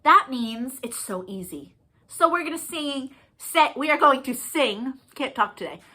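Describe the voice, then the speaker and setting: A woman's voice: sits high, lively intonation, a little slow, pushed and bright. A woman leading a children's storytime, speaking expressively and encouragingly in simple, sing-song phrases for young listeners. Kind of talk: animated children's storytime, reading, explaining and singing to young children.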